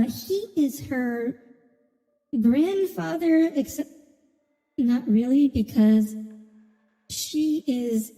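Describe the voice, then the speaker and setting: A woman's voice: fairly high pitch, mellow, big-room sound, poor audio. A woman giving casual commentary as she streams a video game. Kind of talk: casual gaming commentary, reading dialogue aloud. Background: video game music.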